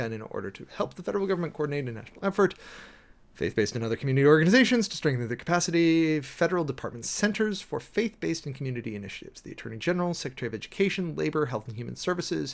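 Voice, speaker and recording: An American man reading official documents aloud dramatically and commenting closely on them, with emphatic, deliberate, theatrical phrasing. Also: mid-range voice, vocal fry. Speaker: streamer, preacher host.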